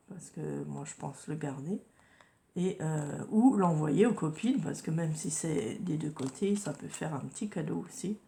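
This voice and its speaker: Woman with a cold, slow unhurried pace, gravelly, hesitant craft-vlog host. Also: low-pitched, darkish tone. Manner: hesitant, many fillers and restarts. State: stuffy with a cold and sore throat. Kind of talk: casual crafting vlog talk.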